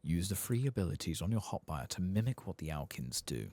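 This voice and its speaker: A soft-spoken man giving ASMR video game commentary, musing to himself and reading quest text aloud in an unhurried way. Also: deep voice, big pitch swings, no room sound. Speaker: ASMR gaming streamer narrating his play.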